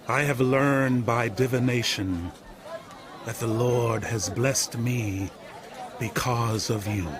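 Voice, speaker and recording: An actor playing a shrewd, calculating older patriarch with a deliberate manner in an audio Bible drama. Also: male voice, medium pitch, crowd noise, natural voice. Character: shrewd older herd-owning father-in-law, slow and calculating.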